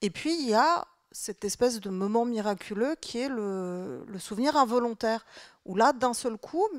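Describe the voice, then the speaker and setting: A woman's voice: a little high, animated, moderate pace, no room sound, clean studio sound. A woman from France speaking as a writer at a public literary talk. She muses thoughtfully in long, winding sentences with occasional fillers. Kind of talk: live literary conversation, reflective.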